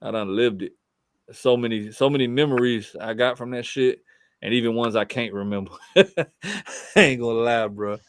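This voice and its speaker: A man's voice: medium pitch, fairly even intonation, moderate pace, clean tone, no echo. Laid-back Southern man telling stories. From Fort Pierce, Florida. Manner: laid-back, profane storytelling with frequent restarts. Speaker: guest artist. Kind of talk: casual podcast chat.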